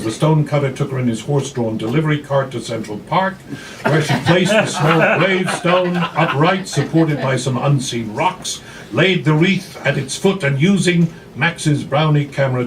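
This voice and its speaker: Man reading a story aloud, distant noisy recording. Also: on the low side. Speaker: actor reading a novella aloud. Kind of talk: dramatic literary reading to a live audience. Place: live reading in a cafe. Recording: noisy live room, distant mic.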